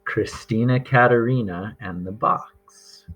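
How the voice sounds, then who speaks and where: Guy reading a kids picture book out loud, real slow, bit raspy, stretches words out for effect. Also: medium pitch, soft background music, low-quality recording. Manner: deliberate, with drawn-out words and dramatic pauses. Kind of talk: expressive read-aloud for young children.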